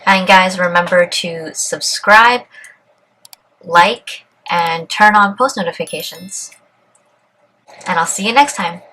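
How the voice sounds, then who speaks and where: Young canadian woman, cantonese first language, calm sincere vlog talk, slight faint noise behind. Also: lowish voice, poor audio. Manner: calm, earnest and measured. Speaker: vlogger. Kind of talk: reflective personal vlog, partly read aloud.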